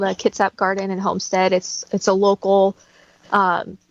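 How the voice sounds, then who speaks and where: A woman's voice: mid-range voice, dry recording, muffled recording. A woman talking in a casual conversational interview as a guest, explaining things in a chatty way.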